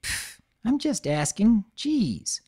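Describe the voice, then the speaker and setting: A woman voicing a brash tomboy girl pony who talks in a gruff, sarcastic, complaining way. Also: medium pitch, sing-song, slow pace, dark tone, pitched above the performer's own voice, full character voice. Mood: grumpy.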